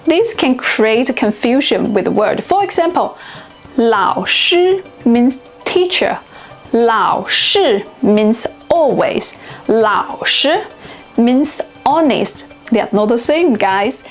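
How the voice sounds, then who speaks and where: Young female host, Chinese-native, fairly high pitch, clear and deliberate teacher delivery over faint background music. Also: big pitch swings. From China. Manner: clear, deliberate, slows down to pronounce example words. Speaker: language teacher and video host. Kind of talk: scripted educational narration.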